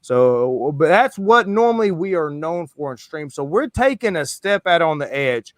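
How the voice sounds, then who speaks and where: A man's voice: on the high side, big pitch swings, normal speed, no room sound, clean studio sound. A man hosting a live sports stream in a loose, slangy, rambling way. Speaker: host. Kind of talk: casual streaming chat.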